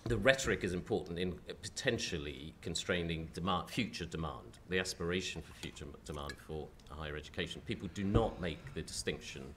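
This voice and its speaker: Man chairing a panel, very low croaky voice with a cold, faint hiss behind, hesitant restarts. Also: fairly quick, few pauses. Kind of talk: panel discussion. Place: lecture hall with audience.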